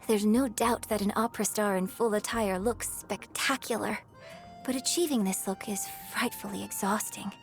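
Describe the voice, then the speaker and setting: An actress playing a refined, gracious young opera star and troupe director in a video game, speaking in a formal, theatrical manner. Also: female voice, mid-range voice, close to an everyday voice. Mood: poised, gracious. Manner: genteel, theatrical, formal wording. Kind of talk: video game character voice lines.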